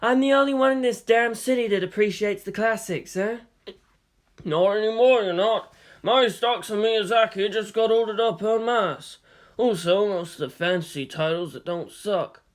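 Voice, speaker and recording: A narrator voicing a gruff, blunt, teasing old man who runs a manga shop in an audiobook story. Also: medium pitch, normal speed, pitched above the performer's own voice, lightly acted. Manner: blunt, grumbling.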